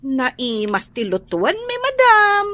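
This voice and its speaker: Sassy, high-pitched young housemaid. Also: female voice, wildly swooping pitch, slow pace, fairly bright tone, heavily acted. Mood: stubborn, sassy. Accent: Filipino. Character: sassy, stubborn young female housemaid who talks back. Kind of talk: Ilocano radio drama acting.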